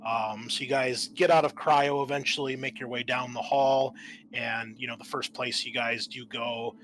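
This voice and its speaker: A man acting as game master, explaining a tabletop roleplaying game in a halting way. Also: mid-range voice, steady tone, fairly bright tone, slight background noise, low-quality recording.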